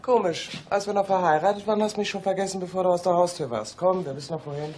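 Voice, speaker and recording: An actress in a radio drama playing a sensible, dry-witted woman, polite but quick to rebuff. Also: on the low side, background noise, natural voice. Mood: dry, reproving. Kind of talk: German radio drama acting. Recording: music behind the voice.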